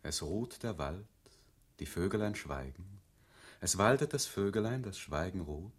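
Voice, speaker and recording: Impassive low-voiced computer reciting, husky. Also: male voice, lively intonation, unhurried, heavily acted. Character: computer reciting word permutations of a poem, impassive machine. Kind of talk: radio play recitation.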